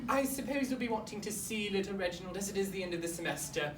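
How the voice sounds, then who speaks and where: A woman's voice: medium pitch, expressive, fast, natural voice. A woman playing a pompous, awkward boarding school headmaster in a comic monologue, with fussy, rambling formal politeness. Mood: grave, evasive. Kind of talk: comic monologue in a speech competition performance. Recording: roomy, distant mic, clear background noise.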